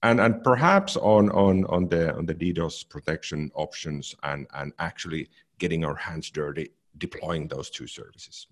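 Deep-voiced man, casual podcast talk. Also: normal speed, few pauses. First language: Finnish. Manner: thoughtful, repeats words as he goes. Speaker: podcast co-host. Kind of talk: casual technical chat.

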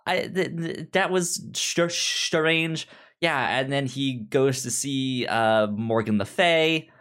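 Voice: mid-range voice, a bit bright, clear, resonant tone. A podcast co-host chatting casually about comics, stammering and often repeating the starts of words. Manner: halting, stammering, repeats word beginnings. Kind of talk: casual comic book discussion.

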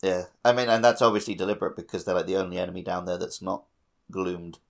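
A man's voice: low-pitched, little pitch movement, fast, vocal fry. A man co-hosting a casual gaming podcast, rambling off into dry asides. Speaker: podcast co-host.

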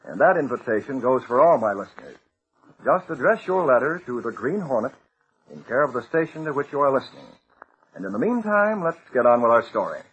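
A man's voice: mid-range voice, big pitch swings, fairly quick, a bit bright, slightly rough, lo-fi sound. A man reading a scripted old-time radio announcement in an earnest, exhorting manner to young listeners. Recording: noisy old radio recording.

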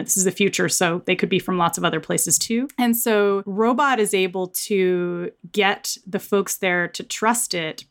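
Woman, slightly low voice, author guest talking through her work in casual interview style. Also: fairly bright tone, smooth. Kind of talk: conversational interview discussion.

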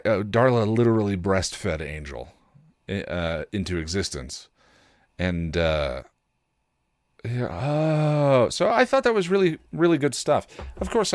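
Male podcast host, lowish voice with lively swings in pitch, halting and self-deprecating, close dry sound. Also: no echo. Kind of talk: casual personal podcast monologue.

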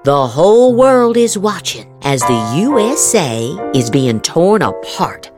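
Female host, lowish voice, dramatic mock-emphatic read of posts with very lively pitch, music underneath. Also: slow pace. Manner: exaggerated inflection with mock emphasis. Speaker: podcast host. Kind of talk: theatrical dramatic reading of social media posts.

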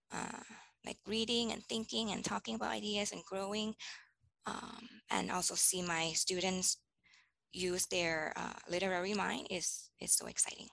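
Gravelly bright-voiced woman from Thailand. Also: mid-range voice, no echo, low-quality recording. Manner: thoughtful, with many ums and restarts. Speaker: teacher guest. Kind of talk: interview answers.

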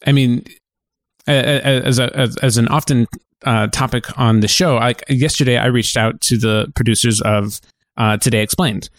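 Halting man chatting on a podcast, dry sound. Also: medium pitch, steady tone, no room sound. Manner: halting, self-correcting. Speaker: podcast co-host. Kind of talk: casual podcast chat.

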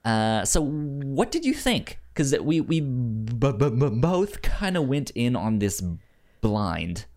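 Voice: medium pitch, sing-song, slow, a little mellow. A podcast co-host of unclear sex chatting casually about films, often stammering and repeating words and syllables. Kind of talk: casual film discussion podcast.

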